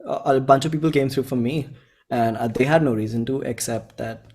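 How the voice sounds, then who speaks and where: A man in his twenties from India with an Indian accent, talking informally and earnestly as an interview guest, in halting phrases. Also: mid-range voice, fairly even intonation, darkish tone, husky. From Bhopal, India. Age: 20s. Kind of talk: casual chat.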